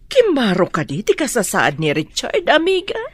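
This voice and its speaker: A woman's voice: on the high side, huge pitch swings, moderate pace, breathy edge, no room sound, full character voice. An actress with an Ilocano Filipino accent playing a nosy, dramatic middle-aged woman friend in a radio drama. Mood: shocked.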